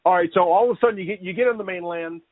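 A man hosting a podcast, asking questions with energetic stammering. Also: sits high, very fast, background noise. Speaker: podcast host. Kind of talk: casual interview. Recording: dry tinny phone-like line.

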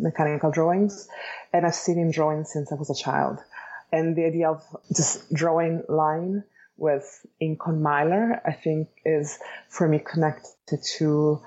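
A woman with an Italian accent and a low, mellow voice answers interview questions. She speaks in a measured, thoughtful way, articulate but pausing as she reflects. The recording is slightly dull. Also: slightly rough. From Italy. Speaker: interview guest, architect and educator. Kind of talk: reflective interview talk about own life.

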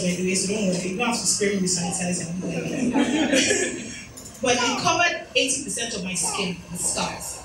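A woman in her thirties giving a motivational talk at an event, telling personal stories with chatty, self-deprecating asides. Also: on the high side. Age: 30s. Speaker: speaker. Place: event hall. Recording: echoey room from far off, noisy.

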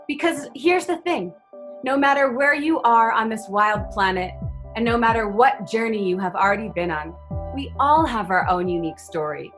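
Female podcast host, scripted intro, slightly high voice, clear music bed. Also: a bit bright. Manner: upbeat, inviting.

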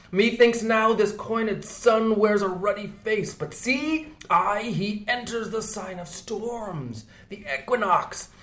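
A man reading an audiobook, voicing a grim, obsessed old whaling captain in a slow, hushed, solemn delivery. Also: a little high, roomy sound.

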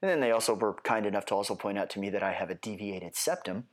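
A man's voice: fairly low pitch, expressive, fast. A nasal-sounding man getting over a head cold, hosting his solo podcast with rambling, wry asides. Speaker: podcast host.

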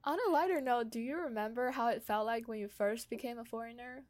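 A young woman speaking casually and personally, pausing often and peppering her sentences with "like". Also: fairly high pitch, no echo. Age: young adult. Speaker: conversation host sharing own experience.

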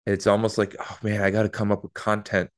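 A man's voice: fairly low pitch, fast talker. A man in his thirties hosting a podcast, talking casually and chattily, often restarting his sentences. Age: 30s. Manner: relaxed, chatty, with many repeated starts. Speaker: podcast host.

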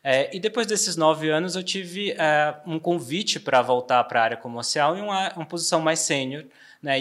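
Bahian guy in his 40s, accent kinda mixed with são paulo, relaxed business podcast chat, lots of "né", mid pitch. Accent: Bahian Portuguese softened by São Paulo. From Bahia, Brazil. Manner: relaxed, frequent fillers and tag questions. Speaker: trade marketing director guest.

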